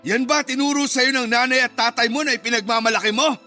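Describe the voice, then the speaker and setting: A man's voice: very high-pitched, steady tone, fast, pushed and bright, faint music behind. An actor playing an angry, abusive older father, indignant and threatening, in a radio drama. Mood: furious, threatening.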